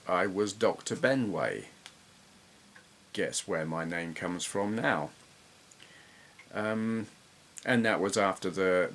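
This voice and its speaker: Low-voiced middle-aged English man, reminiscing casually. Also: a bit bright. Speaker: retro gaming YouTuber. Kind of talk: casual gameplay commentary and reminiscence.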